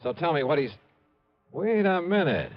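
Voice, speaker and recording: An actor playing a brash, wisecracking American insurance investigator in a 1950s radio detective drama. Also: male voice, a little high, sing-song, moderate pace, no echo, soft background music. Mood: wry, blunt. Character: brash, wisecracking freelance male insurance investigator, tough and savvy. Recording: dull vintage radio recording.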